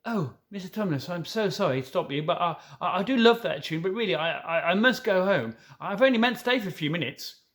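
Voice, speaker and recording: A man reading a storybook, voicing a kind, anxious young girl who stammers and pleads in a high voice. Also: expressive, fairly quick, few pauses, fairly bright tone. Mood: worried.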